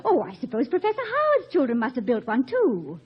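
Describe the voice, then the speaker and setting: An actress playing a college president's devoted, reassuring wife in a 1950 radio comedy, gentle and tactful. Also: female voice, high-pitched, huge pitch swings, a little fast, slight background noise. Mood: coaxing, light. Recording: muffled old radio recording.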